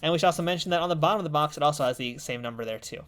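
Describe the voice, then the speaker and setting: A man's voice: on the high side, very fast, a bit bright, a little hiss or hum. A man in his thirties co-hosting a collectible review in a studio, chatty and jokey as he explains details at a table. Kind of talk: casual review chat. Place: indoor studio set at a table.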